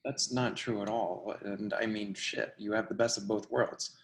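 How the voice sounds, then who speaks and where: A man's voice: fairly low pitch, steady tone, moderate pace, natural voice, poor audio. A man reading the part of a supportive, level-headed friend in a play, casual and plainspoken, over a video call. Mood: concerned, casual. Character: supportive, level-headed writer friend, works serving coffee.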